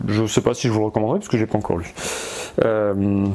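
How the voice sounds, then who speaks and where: A man talks in a mellow, darker voice, stuffy from a cold. He rambles hesitantly with many fillers and restarts, breaking off for chatty asides to his viewers. Faint noise hums under the recording. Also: lowish voice, fairly even intonation, quick pace. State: has a cold. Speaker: vlogger. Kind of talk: rambling casual vlog. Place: outdoors in spring.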